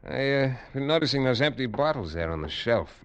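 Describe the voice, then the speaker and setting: A man's voice: medium pitch, big pitch swings, brisk, smooth, natural voice. An actor playing a shrewd, cool detective in mid-century radio drama, casual and understated as he probes. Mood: coolly probing. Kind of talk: 1940s-50s radio drama acting.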